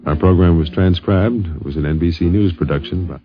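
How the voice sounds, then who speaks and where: A man with a very deep voice narrates a radio documentary in the fifties manner. The recording is dull and old, with faint guitar music behind him. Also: brisk.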